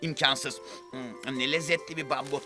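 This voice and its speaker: A man's voice: medium pitch, fairly even intonation, moderate pace, close to an everyday voice. A man voicing an easygoing, gluttonous male panda in a preschool cartoon, munching and humming between phrases. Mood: greedy, contented. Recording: music behind the voice.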